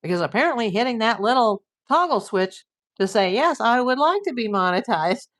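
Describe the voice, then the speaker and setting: A woman's voice: fairly high pitch, sing-song, normal speed. A middle-aged woman hosting a casual livestream, chatting and reading viewer comments while losing her voice. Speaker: livestream host.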